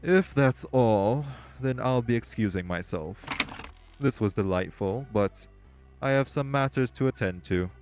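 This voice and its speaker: A man's voice: mid-range voice, darkish tone, faint music behind, lightly acted. A man voicing a composed, condescending male crime leader, in an audio roleplay. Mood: cold. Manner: measured, condescending, clipped.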